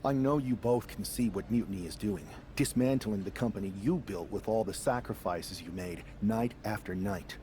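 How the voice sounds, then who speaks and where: A man's voice: on the low side, moderate pace, few pauses, dark tone, slight background noise, lightly acted. A man playing an earnest, determined wrestler asking for help in a video game story scene. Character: earnest, determined male wrestler seeking allies. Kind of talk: dramatic game dialogue.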